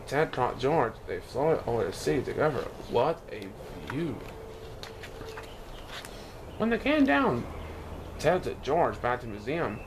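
A man reads a children's storybook aloud in an animated storytelling manner, now and then stumbling over a word. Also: mid-range voice, background noise. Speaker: storybook reader.

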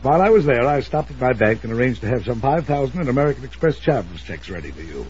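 An actor playing a wealthy, domineering older uncle in a 1950s radio drama, commanding, suspicious and emphatic. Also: male voice, mid-range voice, big pitch swings, fast, darkish tone, husky. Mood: insistent. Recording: muffled, noisy old radio recording.

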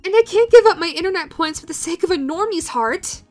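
A woman playing an awkward, self-deprecating young meme lover flustered by love, speaking haltingly and nervously in a romantic comedy audio roleplay. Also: very high-pitched, animated, darkish tone, faint music behind. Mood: agitated, despairing.

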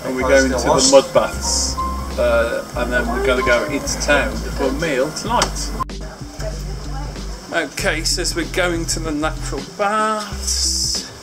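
A man's voice: mid-range voice, slow pace, over loud music. A middle-aged man vlogging to a handheld camera on board transport, jokey and teasing. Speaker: vlogger, travel host. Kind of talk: casual travel vlog to camera. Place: indoors on board a ferry or coach. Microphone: handheld camera at arm's length.

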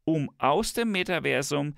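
A man playing a smug, tech-enthused professor in a scripted satirical dialogue, pompous and theatrical with drawn-out emphasis. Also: a little high, expressive, vocal fry, a bit bright, no room sound, clean studio sound, exaggerated voice. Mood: smug, visionary. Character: smug, tech-enthused male professor, grandly visionary and teasing.

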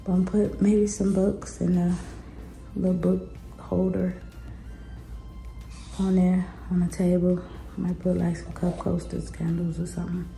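A woman in her thirties talks slowly and loosely, her voice raspy with a gravelly edge. She rambles in a relaxed way and now and then drops to a quiet aside. She is filmed at home on a phone, with music playing clearly beneath her. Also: on the low side, roomy sound, poor audio. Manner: chatty, laid-back, drifts into soft asides. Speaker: home vlogger. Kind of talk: casual home tour vlog. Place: living room in a house. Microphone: phone camera held or set on a table. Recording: background music under the voice.

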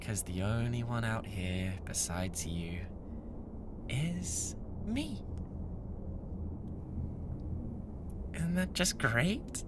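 An obsessive young British yandere classmate, played by a man in an ASMR roleplay. He speaks slowly and intimately, with theatrical menace and a bratty, unhinged streak. There is clear background noise in the recording. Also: mid-range voice, sing-song. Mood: playfully threatening.

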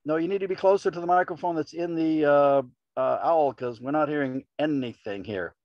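A man's voice: on the high side, fairly quick. A man cutting in bluntly to complain he cannot hear. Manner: blunt, exasperated. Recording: dull lo-fi sound.

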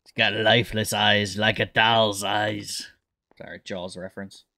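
A man with a fairly low voice speaks in a hesitant, bemused way. He is improvising in a game roleplay, and the sound is close and dry with little room in it. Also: little pitch movement, unhurried, vocal fry, sharp tone, dry recording. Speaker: roleplay streamer playing a police lieutenant.

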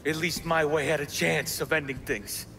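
Bitter, wounded American rogue operative, creaky and sharp. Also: male voice, fairly high pitch, steady tone, normal speed, a little hiss or hum. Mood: defiant, ranting. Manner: profane, cynical ranting. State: badly wounded, coughing. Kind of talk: video game cutscene acting.

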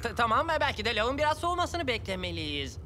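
A man's voice: extremely high pitch, big pitch swings, fast talker, music in the background. A man voicing a jolly, showy purple cat in a dubbed cartoon, bouncy and prone to clowning. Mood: playful.

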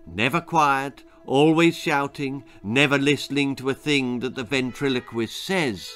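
A man's voice: medium pitch, a little slow, fairly bright tone, faint music behind, close to an everyday voice. A man voicing an irritable, sardonic ventriloquist in a story, speaking frankly with dry control. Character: sinister, irritable bald male ventriloquist, dryly sardonic.

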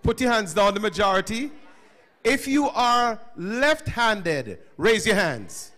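A man's voice: high voice, slow. A middle-aged man teaching students at a school chapel, questioning and calling on them, on a handheld microphone. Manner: animated, questioning, calls on listeners. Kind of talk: interactive talk to students.

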